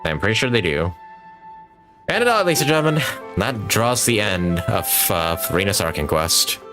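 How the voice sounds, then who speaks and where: A man's voice: fairly low pitch, very animated, moderate pace. A man giving casual livestream game commentary, rambling and enthusiastic, with casual swearing. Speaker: game streamer. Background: game music.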